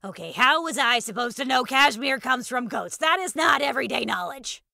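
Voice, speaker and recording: A woman's voice: sits high, animated, moderate pace, gravelly, pushed and bright, dry recording, clean studio sound, voice pushed up, full character voice. A woman voicing an exasperated, literal-minded female advisor in an emphatic, indignant manner for an audio story.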